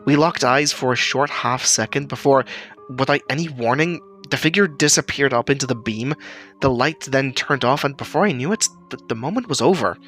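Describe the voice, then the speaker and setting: An earnest storyteller, played by a man, recounts an eerie childhood experience in an audio horror anthology. His mid-pitched voice is bright and forward, with a hesitant, uneasy delivery over quiet music. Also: normal speed, close to an everyday voice. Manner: earnest, hesitant, stammering in places. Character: shaken storyteller recalling an eerie childhood sighting. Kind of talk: first-person spooky story narration.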